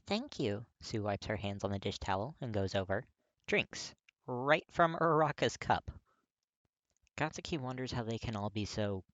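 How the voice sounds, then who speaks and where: Expressive fiction narrator in a dry, close recording. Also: medium pitch, no room sound, dull audio. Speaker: podfic narrator. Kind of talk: scripted fiction narration, expressive audiobook reading.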